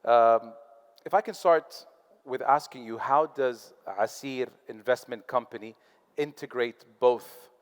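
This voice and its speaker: Moderator, man, smooth scripted delivery, hall reverb tail. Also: medium pitch. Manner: polished, reads prepared questions. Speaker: panel moderator, podcast host. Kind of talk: scripted moderator introduction and questions. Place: conference hall on stage.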